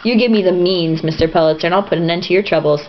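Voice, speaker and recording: An oily, toadying schemer of a man from a storybook, as a woman reads him, eager and boastful. The voice is dropped lower, and the lo-fi home recording has faint noise behind it. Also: fast, lightly acted. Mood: scheming, eager. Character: weaselly, toadying man scheming to crush a strike.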